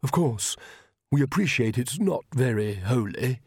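A man voicing a well-meaning, awkward king who speaks formally and courteously with halting diffidence, in an audiobook. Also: medium pitch, animated, husky, dry recording, studio quality. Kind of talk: audiobook character dialogue.

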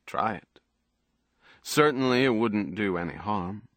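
A man voicing a world-weary, cautious man who is blunt yet protective, in measured, quietly firm audiobook dialogue. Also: lowish voice, animated, no room sound, clean studio sound. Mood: gently firm.

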